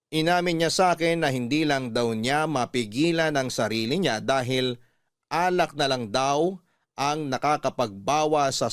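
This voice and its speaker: A Filipino man narrates a radio drama story in a mid-pitched voice. He reads earnestly and deliberately, pausing for drama, in a dry studio sound. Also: clean tone, no room sound. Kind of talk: scripted first-person story narration.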